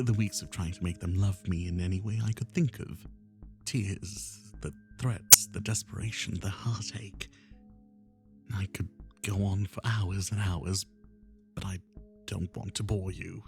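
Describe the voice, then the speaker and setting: Man playing a slow, gravelly yandere kidnapper. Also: lowish voice. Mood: menacing, tender. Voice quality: gravelly, often breathy whisper. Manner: slow, confiding, anguished and menacing. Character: obsessive, lovesick male yandere kidnapper, tender yet menacing. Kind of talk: roleplay ASMR monologue, intimate and dramatic.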